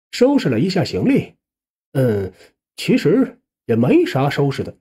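Husky male storyteller with very animated pitch. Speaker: audiobook narrator. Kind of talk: first-person suspense storytelling, scripted narration.

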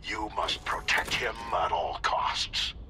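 An actor playing a noble, commanding giant robot leader in a video game, speaking solemnly and deliberately. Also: male voice, sits low. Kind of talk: video game cutscene acting. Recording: loud music and engine noise behind.

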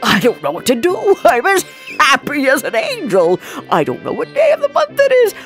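A woman's voice: deep voice, very animated, slow pace, creaky, faint music behind, voice pushed down, exaggerated voice. A woman voicing an impassioned, exclaiming old miser in a storybook reading. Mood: giddy joy. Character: miserly old man, frightened, pleading, then giddily joyful.

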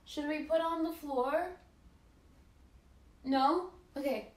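A young woman playing a playful, affectionate, teasing girlfriend in an intimate ASMR roleplay, coaxing in a girlish murmur. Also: high voice. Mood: soft, casual. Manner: giggly, coaxing, unhurried murmuring with pauses. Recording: echoey, distant, noisy with running bathwater.

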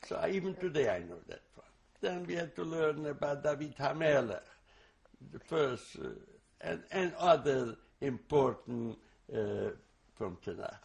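An older man, a native Hungarian speaker, giving oral history testimony in a halting, reflective way with many restarts. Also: fairly high pitch, lively intonation, creaky, darkish tone. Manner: slow, reflective recall. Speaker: interviewee.

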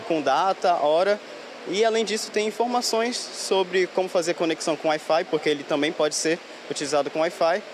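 A man's voice: high voice, brisk. A teenage student presenting his project in a rehearsed, explanatory way into a handheld mic in a workshop. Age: teens. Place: school workshop. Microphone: handheld reporter mic. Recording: noisy workshop background.

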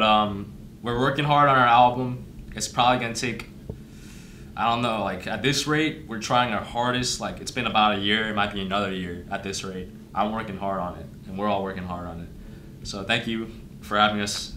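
A man's voice: lowish voice, a little fast, slight background noise. A young man from Thailand, chatting animatedly about his band in a small studio. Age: young adult. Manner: animated, acts out quotes. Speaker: band frontman. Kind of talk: casual chat. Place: small studio room, indoors. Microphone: boom mic.

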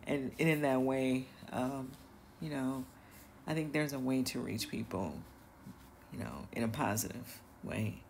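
A woman's voice: rumbling low, a little slow, vocal fry, a little mellow, a little hiss or hum. A woman hosting a gossip commentary show, talking casually and hesitantly with many fillers and small laughs. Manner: chatty, hesitant, full of fillers and little laughs. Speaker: commentary channel host.

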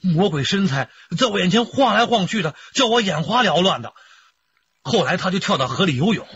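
Boastful, folksy male suspect, higher-set husky voice, radio drama, dulled sound. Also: animated, brisk, fairly bright tone, dry recording, lo-fi sound, exaggerated voice. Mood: smitten, rambling. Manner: rambling, pleading, folksy. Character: rough, boastful, lovestruck fisherman suspect, male adult. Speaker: actor in police radio drama.

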